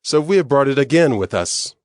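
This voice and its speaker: A man's voice: mid-range voice, animated, fairly quick, no echo, clean studio sound, close to an everyday voice. A man voicing an anxious brother who carefully explains himself.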